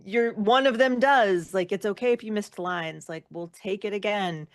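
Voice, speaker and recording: A woman's voice: medium pitch, moderate pace, no room sound. A woman giving casual, reflective interview answers as a guest, candid and thinking aloud with frequent self-corrections.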